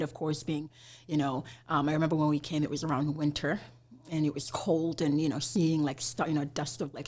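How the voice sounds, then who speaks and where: A woman from Somalia, a guest on an interview podcast, talking casually with many "you know" fillers and easy laughter. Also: low-pitched. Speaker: guest, immigration attorney.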